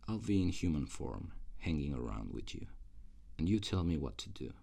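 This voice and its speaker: Man voicing a very deep, gravelly, sardonic demon. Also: very animated, mellow, heavily acted. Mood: bargaining. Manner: slow, dry, intimate, sardonic. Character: reluctant male demon guardian, jaded, sardonic, gleefully cruel. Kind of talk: ASMR roleplay.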